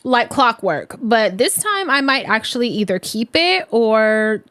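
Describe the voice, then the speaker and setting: A woman's voice: a little high, sing-song, a little slow, a bit bright, no echo. A woman co-hosting a podcast, chatting casually with sassy, emphatic remarks and casual swearing. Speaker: podcast co-host. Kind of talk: casual chat.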